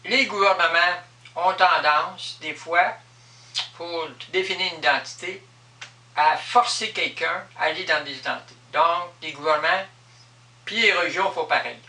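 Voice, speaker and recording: A man's voice: on the high side, measured pace, bright, forceful tone. A man with a Quebec French accent giving an improvised explanatory monologue in an emphatic, repetitive manner. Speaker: vlogger explaining personal spiritual beliefs. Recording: echoey room, distant microphone.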